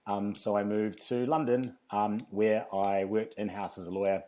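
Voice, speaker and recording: A man's voice: on the low side, fairly even intonation, normal speed, dark tone. A man with a New Zealand accent, telling his story casually and chattily as an interview guest. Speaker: podcast guest, fitness company founder.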